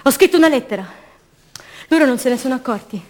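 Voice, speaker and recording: Sorrowful young mother, higher voice. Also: animated, fast, darkish tone. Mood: wistful. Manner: short, broken, dreamlike phrases. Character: sorrowful young disappeared mother, longing for her son. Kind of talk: theatrical radio drama monologue.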